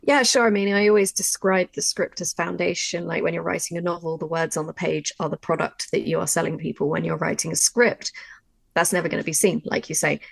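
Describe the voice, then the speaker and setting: A woman's voice: on the low side, steady tone, brisk, gravelly, husky, dry recording. A woman co-presenting a workshop, explaining things in a casual, chatty way and thinking aloud with asides. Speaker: workshop co-presenter and audio drama writer.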